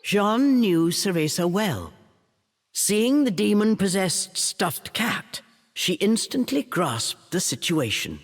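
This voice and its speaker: Unhurried, animated storybook narrator. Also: mid-range voice. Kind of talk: scripted storybook narration for a video game.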